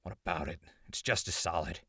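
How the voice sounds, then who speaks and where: An actor playing a sardonic, laid-back ghoul survivor in an audio drama, raspy and gravelly, with a casual, teasing way of talking. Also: male voice, low voice, fairly even intonation, brisk, pushed and bright, no room sound, clean studio sound, heavily acted. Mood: resigned. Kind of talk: post-apocalyptic audio drama acting.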